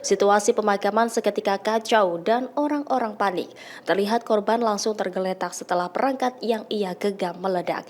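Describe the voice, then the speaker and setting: A woman's voice: mid-range voice, normal speed. A woman reads scripted news narration as a voice-over.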